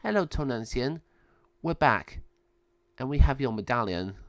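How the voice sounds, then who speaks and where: A wise godmother sage from a children's storybook, read by a man. The delivery is solemn, measured and firm yet kindly, in a dry, close sound. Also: mid-range voice, animated, dry recording. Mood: kindly, calm.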